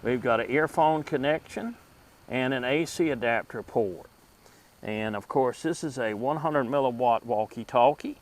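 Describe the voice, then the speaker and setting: A middle-aged man giving a casual show-and-tell to camera in a halting, deliberate way. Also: medium pitch. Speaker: host of a vintage gadget video series. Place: outdoors at a table in a wooded yard. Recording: outdoor ambient noise behind voice.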